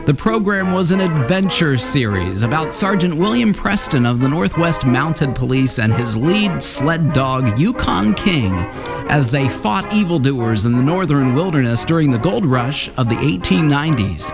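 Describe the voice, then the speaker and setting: A man's voice: a little high, animated, moderate pace. A man presenting a radio history series in scripted narration. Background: music.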